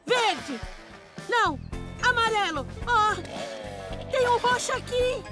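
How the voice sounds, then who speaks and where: A woman voicing an excitable, indecisive young motorbike in a Brazilian children's cartoon dub, with exclamatory delivery. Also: extremely high pitch, takes it slowly, exaggerated voice. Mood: flustered. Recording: music behind the voice.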